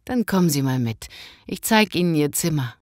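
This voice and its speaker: A woman's voice: low voice, expressive, moderate pace, a little mellow, no room sound, clean studio sound. A woman playing a kind, composed, courteous hotel manager in a scripted language-lesson dialogue. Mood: kindly, formal.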